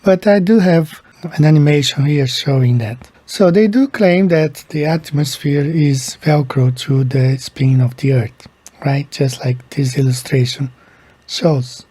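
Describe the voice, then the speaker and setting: Slow, husky-voiced Brazilian-born man. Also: fairly high pitch, mellow. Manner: slow, halting, repeats words. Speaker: video creator. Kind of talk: casual explainer monologue.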